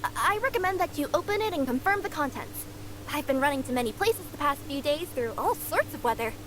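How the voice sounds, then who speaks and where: A woman's voice: sits high, animated, brisk, fairly bright tone, low-quality recording. A woman voicing a young, polite, easily flustered cat-spirit courier girl in a video game, earnest and prone to stammering. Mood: polite, eager. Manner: earnest, stammering when nervous. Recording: game capture with clear background noise.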